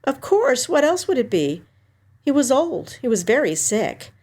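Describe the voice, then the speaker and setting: A woman reader plays a dutiful older sister in grief, her voice lifted noticeably high. She speaks gently and earnestly, with a self-sacrificing air, as in an audiobook story. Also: sing-song, a little slow, exaggerated voice. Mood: weary, composed. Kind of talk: audiobook character dialogue.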